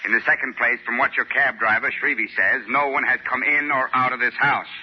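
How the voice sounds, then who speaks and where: Actor as a brusque, exasperated police commissioner. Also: male voice, mid-range voice, fairly even intonation, a little fast, slightly rough, lightly acted. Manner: brusque, officious. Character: exasperated, skeptical middle-aged male police commissioner. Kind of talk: 1940s radio acting. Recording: muffled, hissy 1940 radio broadcast.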